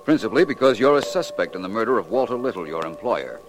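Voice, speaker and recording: An actor playing a suave, unflappable gentleman detective with urbane, measured, precise speech in a 1940s radio drama. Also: lowish voice, very animated, faint music behind. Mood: cool, polite.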